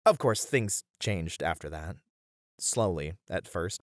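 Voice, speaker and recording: Man playing a low-voiced, easygoing young bunny boy. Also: expressive, slow, dry recording, studio quality. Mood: fond, nostalgic. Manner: chatty, easygoing, welcoming, with casual slangy hesitations. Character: friendly young bunny boy, nostalgic manga cafe regular. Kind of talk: ASMR roleplay, casual conversational acting.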